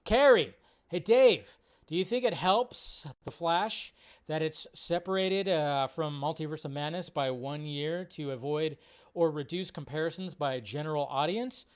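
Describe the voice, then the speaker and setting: A man's voice: a little high, a little slow, smooth. A man hosting a livestream in a casual, off-the-cuff way, rambling and repeating phrases as he chats with viewers. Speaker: livestream host. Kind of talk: casual live chat, reading and answering viewer questions.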